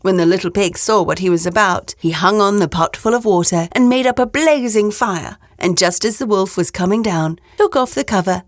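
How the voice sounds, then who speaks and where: A woman narrating a children's storybook aloud in an expressive storyteller's style. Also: mid-range voice, normal speed, few pauses, no echo, clean studio sound.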